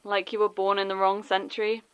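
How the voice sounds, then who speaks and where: A woman acting a caring but wounded friend, a schoolteacher, in an audio drama, gently persuasive and wry. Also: mid-range voice, level tone, a little fast, clean tone, muffled recording, lightly acted. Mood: supportive, wry.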